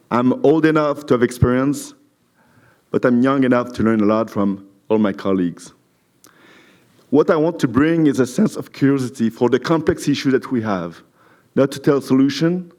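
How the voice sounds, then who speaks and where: A middle-aged man whose first language is French, giving an earnest, slightly halting candidate speech in his second language. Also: medium pitch, darkish tone. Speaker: council candidate.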